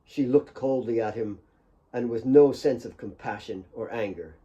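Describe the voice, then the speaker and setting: A man's voice: mid-range voice, normal speed, warm tone, muffled recording. A man reading dramatic scripted fiction as an author narrating his own audiobook.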